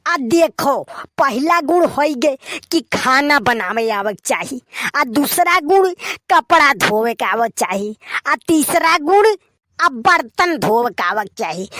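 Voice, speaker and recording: Someone voicing a preachy grumbly young village guy, awadhi cartoon, really high voice, slow n dry sound. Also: big pitch swings, sharp tone, no echo, full character voice. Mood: preachy, cocky. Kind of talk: Awadhi comedy cartoon dialogue.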